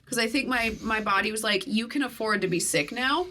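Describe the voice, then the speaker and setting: Young woman guest, bright tense voice, lively storyteller with a lingering cough, faint hiss behind. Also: fairly high pitch, brisk. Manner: animated storyteller, laughs often. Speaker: podcast guest. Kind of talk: casual chat.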